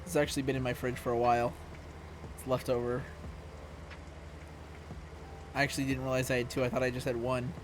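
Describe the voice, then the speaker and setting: A young man with a hoarse, scratchy voice, chatting casually with viewers during a live stream at home. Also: medium pitch, flat delivery, racing. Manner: laid-back, chatty, talks to viewers. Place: room at home. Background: music playing.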